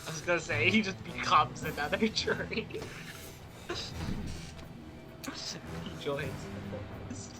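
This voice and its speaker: A man's voice: a little high, slow pace, long pauses. A man in casual, joking chat as a player in a tabletop game. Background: background music.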